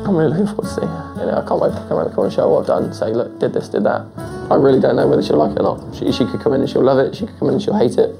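A man's voice: mid-range voice, animated, very fast, gravelly, hardly any pauses, music in the background. A young man in his twenties, tired and anxious, talking candidly at home during a house renovation. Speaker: renovating homeowner. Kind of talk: candid reality TV talk. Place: indoors at home.

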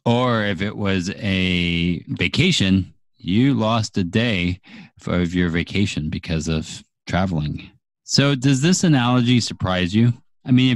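A man's voice: low voice, dry recording. A man co-hosting a personal finance podcast, reacting briefly and asking his co-host questions. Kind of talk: casual chat.

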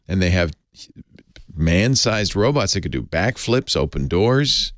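A man's voice: low voice, sing-song, unhurried, a bit bright, no room sound, studio quality. An older man hosting a radio show, making wry, joking commentary. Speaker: radio host.

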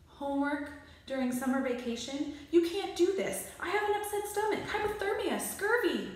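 A scornful, self-important boy from a children's storybook acted by a woman. The delivery is grand and very animated. The room echoes heavily. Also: sits high, normal speed, pitched above the performer's own voice. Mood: indignant. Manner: pompous, deadpan grandiosity. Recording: very echoey small bare room.

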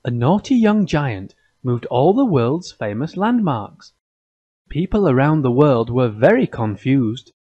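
A man's voice: medium pitch, very animated, unhurried, mellow, slightly rough, highs cut off. A man with an American accent narrating a scripted children's story.

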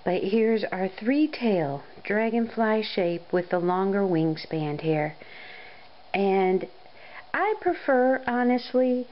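Slow, slightly scratchy woman hosting a tutorial. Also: medium pitch, highs cut off. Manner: friendly, unhurried, explains step by step. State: hoarse after a cold. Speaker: crochet tutorial host. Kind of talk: casual how-to narration.

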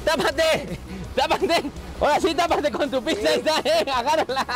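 A man's voice: extremely high pitch, normal speed, loud music behind the voice, over a noisy crowd. A young man with a Mexican accent hosting a playful street vlog, hyping up strangers like a showman. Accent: Mexican Spanish. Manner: loud, playful, showman hype. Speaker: vlogger. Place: outdoors on a city street and in a van. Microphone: lapel mic.

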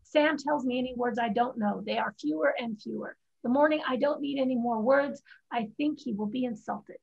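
A woman's voice: on the high side, normal speed, gravelly, a little mellow, breathy edge. A woman reading the part of a proud, blunt fifteen-year-old Chinese girl in a staged play reading over a video call. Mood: proud, bitter. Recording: muffled video-call audio.

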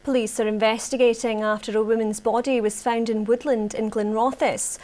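High-voiced Scottish woman reading the news. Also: level tone, dark tone. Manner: formal, measured delivery. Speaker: news presenter. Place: TV news studio. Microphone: lapel mic.

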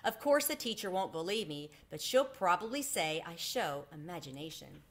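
A woman's voice: lowish voice, sing-song, normal speed. A woman voicing a friendly, generous schoolboy in an earnest, coaxing way for a children's storybook. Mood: glad.

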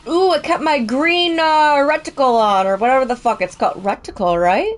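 A woman's voice: high voice, normal speed. A young woman streaming a game from home, chatting casually, swearing and laughing as she plays. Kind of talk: casual live-stream chat. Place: home, indoors.

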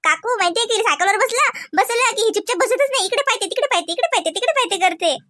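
A woman's voice: very high-pitched, expressive, fast, a bit bright. A woman voicing a doting, playful village auntie in a cartoon, cooing in baby talk. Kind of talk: cartoon comedy dialogue.

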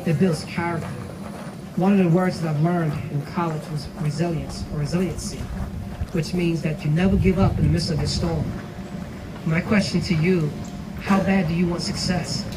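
Emphatic program director from New York. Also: male voice, a little high, steady tone. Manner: measured, emphatic lists. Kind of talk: motivational speech. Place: outdoor stage under a tent. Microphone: handheld mic, far camera. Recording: distant camera, loud outdoor noise.

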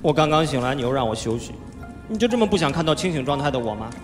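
A man's voice: fairly high pitch, sing-song. Playful whiny young swordsman, echoey hall. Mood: bored, whiny. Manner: whiny, drawn-out, coaxing. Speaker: voice actor. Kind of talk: live audio drama stage performance. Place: large theatre stage, indoors. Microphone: handheld microphone. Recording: echoey large hall, music behind.

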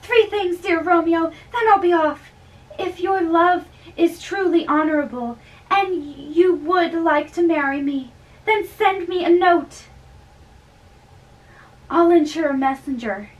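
High-voiced young woman reading drama, distant mic. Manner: theatrical, halting as she reads. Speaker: amateur performer. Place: small bedroom, indoors. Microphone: camera a few metres away. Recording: distant mic with background hiss.

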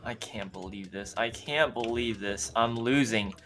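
A man's voice: medium pitch, unhurried, fairly bright tone, faint music behind. A young man chatting casually while gaming, in short phrases he often repeats. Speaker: co-player.

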